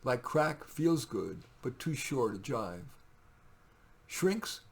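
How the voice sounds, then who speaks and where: A man's voice: medium pitch, big pitch swings, slow pace, vocal fry, slightly rough. A man reading his own poetry, theatrical and emphatic in the verse, with casual asides in between. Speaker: poet reading own work.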